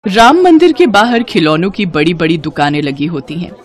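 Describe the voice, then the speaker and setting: A woman's voice: fairly low pitch, animated, normal speed. A woman narrator reading a scripted moral bedtime story for children.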